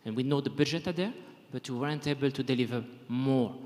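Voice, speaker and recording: A man putting a question to a conference panel in a halting way. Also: mid-range voice, a little mellow. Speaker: audience questioner. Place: conference hall audience. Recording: echoey hall with long reverb.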